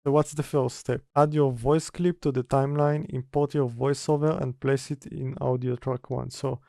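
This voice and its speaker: A man presenting an informal software tutorial, halting as he reads steps from notes and mutters through them. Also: medium pitch, level tone, gravelly, dry recording, studio quality. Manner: halting, mutters step by step while working. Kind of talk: informal screen-recorded software tutorial, partly read from notes.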